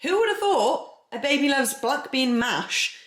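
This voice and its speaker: A young Welsh mother chatting for a home vlog, lively and a little frantic, laughing often. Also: high voice, a little slow. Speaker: vlogger, new mother. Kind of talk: casual home vlog chat. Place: home, indoors. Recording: echoey home room.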